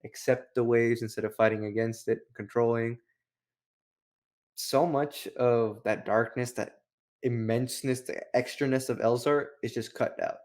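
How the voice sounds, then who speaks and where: Man, mid-pitched voice, casual co-host, rambling, slangy, frequent "like". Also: fairly even intonation, measured pace, darkish tone. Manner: rambling, heavy use of "like". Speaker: book review show co-host. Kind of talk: casual, slangy chat, retelling and commenting.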